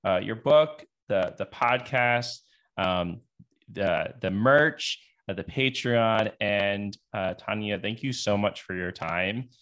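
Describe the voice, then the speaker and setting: A man with a slightly low voice hosts a podcast interview. He speaks informally, feeling his way through phrases in a casual, conversational style. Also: slow, a bit bright. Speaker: podcast host interviewing a guest.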